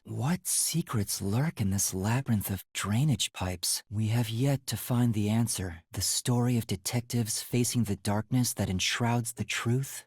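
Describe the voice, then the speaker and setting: A man playing a timid, earnest young amnesiac trainee detective in an English video game, with a light, breathy voice and a hesitant manner. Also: medium pitch, no echo, clean studio sound. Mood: quiet, brooding. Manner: hesitant, soft-spoken, stammering when flustered.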